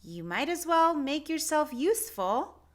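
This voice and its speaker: A woman's voice: high voice, big pitch swings, measured pace, voice pushed up. A woman voicing a stern, commanding but fair female head librarian in a children's storybook. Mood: brisk.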